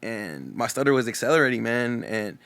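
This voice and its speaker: A man's voice: mid-range voice, very animated, brisk, vocal fry, fairly bright tone. A young man from Texas with a Texan accent who stutters openly, talking casually and earnestly as a guest DJ in an interview. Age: young adult.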